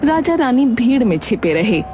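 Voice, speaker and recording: A woman's voice: fairly high pitch, big pitch swings, normal speed, warm tone, soft background music. A woman narrating a scripted children's moral story.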